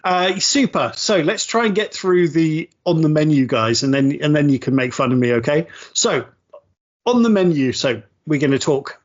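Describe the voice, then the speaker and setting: A man's voice: on the high side, dull audio. A man hosting a podcast in an upbeat, rambling, self-deprecating way, often stopping to restart. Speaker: podcast host. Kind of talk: casual chat.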